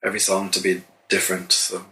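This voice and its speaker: An Irish songwriter answering interview questions in a thoughtful, halting way, with many restarts. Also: male voice, low voice, completely flat delivery, sharp tone. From Ireland. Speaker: songwriter guest.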